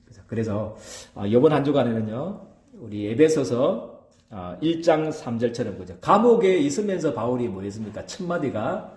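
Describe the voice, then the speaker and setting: Man, pastor, sermon, regional dialect, animated pitch, roomy echo, asks the congregation "right?". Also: normal speed. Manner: emphatic, checks in with the congregation by asking "right?"; many hesitation fillers between phrases. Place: church.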